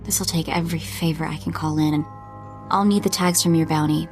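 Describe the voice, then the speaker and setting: A determined young woman working as a bounty hunter's handler in a game drama. Her voice sits low, earnest and urgent, carrying grief, with soft music behind it. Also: fairly even intonation, a little fast. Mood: urgent, resolute. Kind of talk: video game voice acting.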